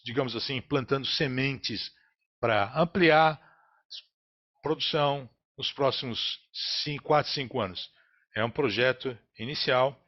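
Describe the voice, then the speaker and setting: A man with a Brazilian accent interprets a business earnings call live, in a halting, phrase-by-phrase delivery. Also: mid-range voice. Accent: Brazilian Portuguese. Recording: dull, lo-fi conference call audio.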